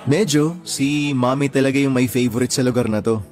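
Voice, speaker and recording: An actor playing an attentive, easygoing young Filipino boyfriend in a radio drama. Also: male voice, mid-range voice, steady tone, a little fast, a little mellow, faint music behind, lightly acted. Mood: reassuring.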